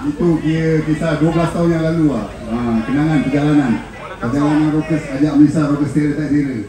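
A man's voice: on the high side, quick pace. A man, a band frontman reminiscing with the crowd and introducing his bandmates on stage. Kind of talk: live concert stage talk. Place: outdoor mall courtyard stage. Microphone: stage microphone through PA. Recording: echoey live PA with music. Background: music and crowd.

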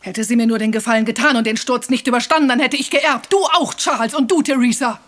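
An actress playing a bitter, proud, scheming wife in a radio crime play, speaking with dramatic, accusing emotion. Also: female voice, a little high, fast, close to an everyday voice. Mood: bitter, scornful.

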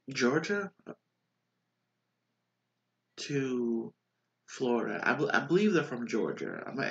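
A man's voice: medium pitch, vocal fry, fairly bright tone, low-quality recording. Stuffy-nosed man rambling through a recap. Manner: rambling, filler-heavy, restarts a lot. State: stuffy, congested nose. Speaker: vlogger recapping a reality show. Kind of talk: casual recap chat. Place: small bedroom with posters on the wall. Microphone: webcam at desk.

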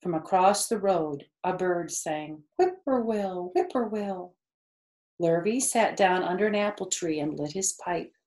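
A woman in her fifties reading a children's story aloud, measured and explanatory. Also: fairly low pitch, darkish tone. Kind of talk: read-aloud storybook narration. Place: living room sofa, indoors. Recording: roomy, echoey indoor sound.

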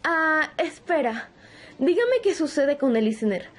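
A woman's voice: sits high, sing-song, a little slow. A woman playing a sweet, bewildered young girl in an audio roleplay, with a hesitant, naive manner. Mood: worried. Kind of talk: ASMR audio roleplay acting.

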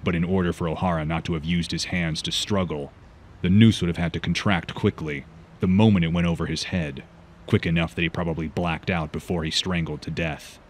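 A man's voice: low-pitched, steady tone, brisk, slight background noise, close to an everyday voice. A man voicing a grave, guarded vigilante detective in a comic book fan dub, speaking solemnly and tersely. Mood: grim, analytical.

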